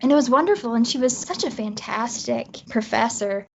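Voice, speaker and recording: A woman's voice: a little high, moderate pace, gravelly, a little hiss or hum. A woman with a Canadian accent chatting casually as a guest writer in an interview. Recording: dull lo-fi audio.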